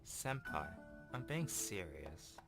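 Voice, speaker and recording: A man's voice: sits low, sing-song, slow pace, vocal fry, loud music behind the voice, full character voice. A young man voicing a shy, earnest, polite teenage schoolgirl from a visual novel. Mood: earnest, hopeful.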